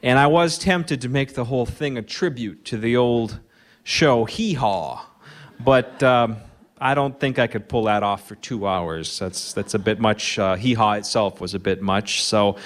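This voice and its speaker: A man's voice: medium pitch, a little slow. A middle-aged man with a Minnesota accent, hosting a live radio show from a barn with a dry, wry comic monologue. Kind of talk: humorous monologue to a live audience.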